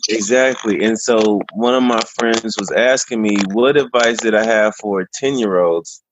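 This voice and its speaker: Male guest, deep, relaxed measured delivery, dry muffled remote audio. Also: background noise. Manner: easygoing, measured, with frequent pauses. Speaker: podcast guest. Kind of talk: casual interview answers.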